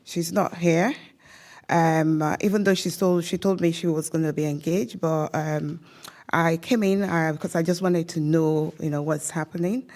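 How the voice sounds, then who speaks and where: Hesitant, low-pitched woman. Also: steady tone, moderate pace, darkish tone. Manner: hesitant, many fillers. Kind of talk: speaking in a seminar introduction round.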